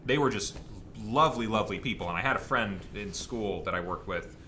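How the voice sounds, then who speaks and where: A man chatting casually and telling a rambling anecdote in a halting way, often cutting himself off. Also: fairly low pitch, pushed and bright, a little hiss or hum. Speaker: panelist on a fan Q&A show.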